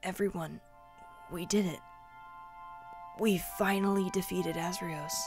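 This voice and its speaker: A woman's voice: on the low side, fairly even intonation, measured pace, music in the background. A woman playing a resolute, vengeful male rebel leader who speaks in earnest, dramatic speeches in a fantasy audio drama. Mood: earnest relief.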